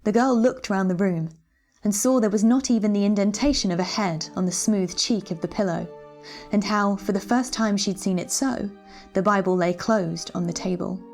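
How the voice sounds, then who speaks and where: A woman's voice: medium pitch, moderate pace, a little mellow, faint music behind. A woman reading literary fiction aloud as a dramatic storyteller.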